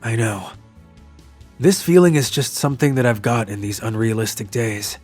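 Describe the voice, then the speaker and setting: Casting: male actor as earnest young office worker, mid-pitched, narrating a manga love story over faint music. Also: expressive, moderate pace, darkish tone, close to an everyday voice. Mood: wistful, reflective.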